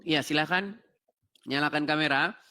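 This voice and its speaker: A man's voice: fairly high pitch, moderate pace, bright, forceful tone. A man hosting a live call-in debate, laughing and mocking as he talks over his callers. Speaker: host of a live interfaith debate stream.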